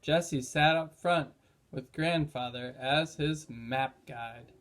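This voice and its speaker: A man's voice: a little high, slow pace, a bit bright. A man narrating a children's chapter book in a read-aloud style.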